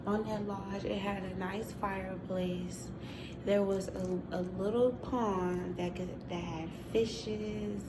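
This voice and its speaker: A woman telling an improvised children's story in a deliberate way, often repeating phrases. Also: medium pitch, fairly even intonation. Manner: slow, deliberate, repeating phrases, with sound effects. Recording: clearly noisy, ambient room sound.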